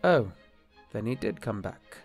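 An actor playing an anxious, exasperated bishop in an audio drama, formal and hesitant in manner. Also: male voice, lowish voice, sing-song, unhurried, vocal fry, husky, faint music behind, heavily acted. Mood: harried. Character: anxious, exasperated, skeptical married male bishop. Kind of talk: radio-play style drama acting.

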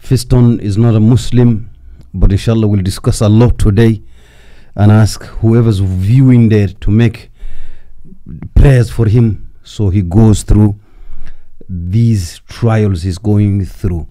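A man's voice: fairly low pitch, fairly even intonation, slow, mellow. A man interviewing a guest in a podcast studio, probing and repeating answers back to check them. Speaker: ruqya practitioner interviewing a guest. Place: studio set with wooden slat wall. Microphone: desk mic on stand.

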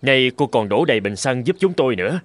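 An actor playing a young, easygoing and polite male traveller in an animated cartoon, with expressive delivery. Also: mid-range voice, brisk, no echo, lightly acted. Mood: polite, reassuring. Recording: music behind.

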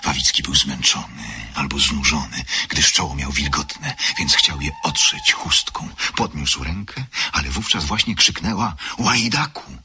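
Deep-voiced male radio drama narrator. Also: expressive, pushed and bright, a little hiss or hum. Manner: measured, literary storytelling.

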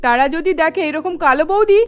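Casting: woman to play melodramatic middle-aged mother-in-law for cartoon dub, high-pitched, emphatic, exclaiming. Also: animated, fast talker, muffled recording. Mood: scolding, shocked. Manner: emphatic, exclaiming, scolding or gushing. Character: scolding middle-aged Bengali mother-in-law, easily won over.

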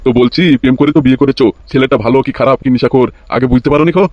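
A man's voice: mid-range voice, fairly even intonation, racing, dull audio, heavy background noise, lightly acted. A man voicing a bossy, flirtatious local politician in a comic cartoon, often repeating his words in a gushing way. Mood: scolding, gushing. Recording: loud music behind the voice.

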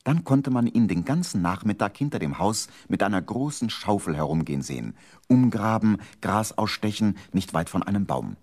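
A man narrates a children's story in a lowish, husky voice. His intonation moves up and down in a lively way, as a radio play storyteller. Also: normal speed.

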